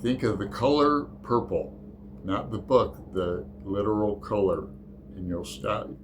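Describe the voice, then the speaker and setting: Guy rambling slowly about books, lots of ums and uhs, bit of faint hiss behind. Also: lowish voice. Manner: rambling, hesitant, many fillers. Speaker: book channel host. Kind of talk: casual book tag chat.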